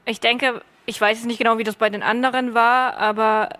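A woman's voice: a little high, level tone, a little fast, sharp tone. A woman novelist who took part in a workshop, speaking openly and eagerly on a panel. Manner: open, eager, laughs easily. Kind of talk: panel discussion answer.

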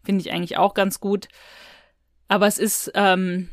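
A woman's voice: mid-range voice, little pitch movement, normal speed, dry recording, studio quality. A woman co-hosting a podcast, giving frank opinions in casual, colloquial chat about audio dramas. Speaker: podcast co-host.

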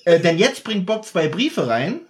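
A man's voice: high voice, sing-song, a little slow. A man co-hosting a podcast, chatting casually and often breaking off to restart his thoughts. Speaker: podcast co-host. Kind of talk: casual chat.

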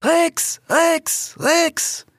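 A man voicing small, excitable animals and birds in a storybook. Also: extremely high pitch, unhurried, gravelly, no room sound, studio quality, voice pushed up, full character voice. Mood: excited. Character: excitable, shrill little birds and animals of a crowd.

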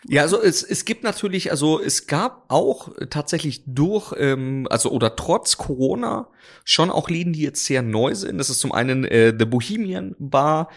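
A man's voice: a little high, expressive, normal speed, vocal fry. Chatty man rambling with fillers. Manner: rambling, many fillers and restarts. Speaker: drinks brand ambassador, guest. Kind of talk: casual interview chat.

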